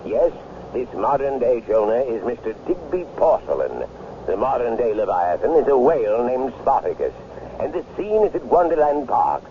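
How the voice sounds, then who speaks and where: An actor playing a self-important, declamatory radio newsreader in a 1970s radio drama. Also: male voice, medium pitch, big pitch swings, moderate pace, natural voice. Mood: urgent. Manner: declamatory, formal. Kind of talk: 1970s radio acting, news bulletin. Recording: dull, slightly noisy 1970s radio recording.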